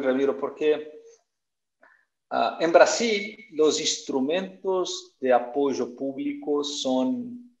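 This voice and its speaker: A man's voice: mid-range voice, echoey room, dull audio. A man moderating a conference panel, hesitant and repeating words as he asks questions.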